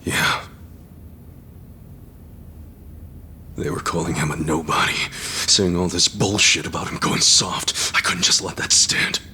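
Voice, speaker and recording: A man playing a loyal, hot-headed yakuza ex-convict in a video game drama, with emotional, rough street talk. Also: low-pitched, fairly even intonation, normal speed, pushed and bright, a little hiss or hum. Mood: sullen, defensive.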